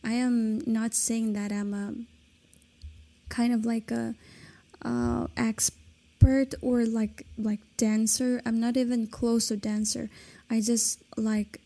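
Woman, late twenties, from China, native Kazakh speaker, podcast host, slow hesitant talk with many fillers, very mellow dark tone. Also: on the high side, flat delivery, creaky. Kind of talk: casual solo podcast monologue.

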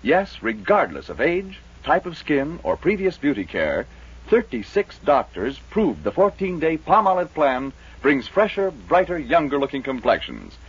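Man, American, radio commercial pitch, 1940s style, somewhat high, husky and rough, dull old broadcast. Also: huge pitch swings, unhurried. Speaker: commercial announcer. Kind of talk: 1940s radio advertising read.

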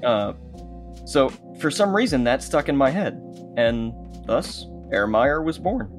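A man's voice: mid-range voice, sing-song, a little slow. A man chatting casually as an audio drama creator, acting out remembered exchanges as he tells behind-the-scenes stories. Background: guitar music.

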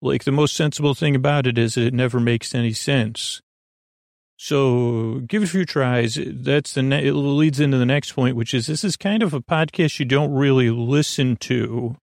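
Male sleep-podcast host, heavy vocal fry, dry close sound, wandering and halting delivery that loops back on itself. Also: medium pitch, darkish tone, no echo. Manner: meandering, halting, repeats and trails off. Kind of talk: rambling bedtime monologue.

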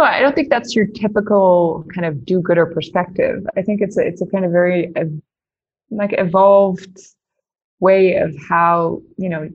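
A woman's voice: on the low side, husky, dull audio. A woman from the Netherlands, a company founder answering interview questions, thinking aloud with many "like"s and restarts. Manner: lots of "like" and restarts, thoughtful.

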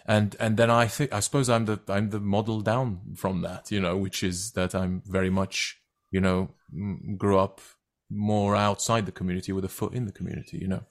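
A middle-aged actor, a man raised speaking Turkish, chatting as a guest, with halting speech full of restarts and fillers. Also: fairly low pitch, level tone. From Turkey. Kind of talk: casual conversation.

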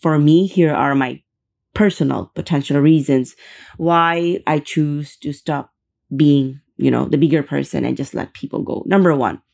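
A young woman hosts a solo podcast in a low, mellow voice with a gravelly fry. She talks earnestly and chattily, doubling words to stress a point. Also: unhurried. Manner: earnest, chatty, emphatic repetitions. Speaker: podcast host. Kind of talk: casual solo podcast talk.

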